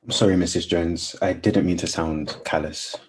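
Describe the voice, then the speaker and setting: An actor playing an earnest, polite young family friend in a radio play, speaking in a hesitant, apologetic, wistful way. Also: male voice, low voice, fairly even intonation, moderate pace, slight background noise, close to an everyday voice. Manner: hesitant, apologetic, soft-spoken.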